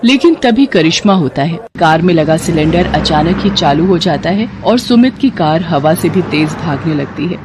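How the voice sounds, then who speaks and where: A woman's voice: sits low, lively intonation, normal speed, few pauses, noisy background. A woman giving scripted narration of a moral story.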